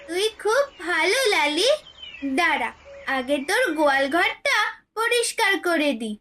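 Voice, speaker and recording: A woman voicing a sweet, obedient little village daughter in a cartoon fairy tale, gentle and eager to help. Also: very high voice, background noise. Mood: caring.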